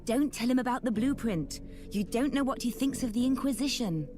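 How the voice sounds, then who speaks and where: A woman's voice: on the high side, animated, a little fast, music in the background, close to an everyday voice. A woman voicing a bossy, cautious companion to the hero in a video game. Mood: impatient, warning. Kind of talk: video game character dialogue.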